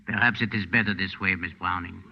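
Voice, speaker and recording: An actor playing a gentle French hotel proprietor in a 1940s radio drama, hesitant and polite. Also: male voice, sits low, fairly even intonation, brisk, vocal fry, husky, exaggerated voice. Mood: polite, subdued. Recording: dull 1940s radio recording.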